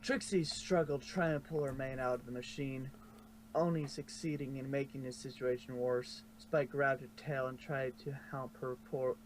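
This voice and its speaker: A man narrates a fan story as if from a storybook, at a middling pitch. He is informal and fixes his slips as he goes. The home recording has some noise behind him. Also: fairly even intonation, normal speed, low-quality recording. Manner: informal, stumbles and corrects himself. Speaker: fanfiction narrator. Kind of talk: storybook narration read aloud.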